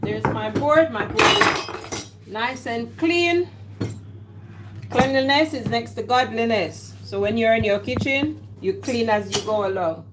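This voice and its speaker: A woman's voice: a little high, a little slow, very noisy. A woman with a Jamaican accent, casually talking through her cooking steps in a home kitchen. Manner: chatty, talks herself through each step. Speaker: home cook vlogger. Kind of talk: casual cooking vlog talk. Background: clatter of dishes and cutlery.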